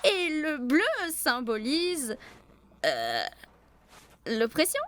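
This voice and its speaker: A woman playing an ambitious young art student with brittle optimism in an animated comedy dub, theatrical and expressive. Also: high voice, extremely slow, exaggerated voice. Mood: dejected, frustrated. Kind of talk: animated slice-of-life comedy fan dub.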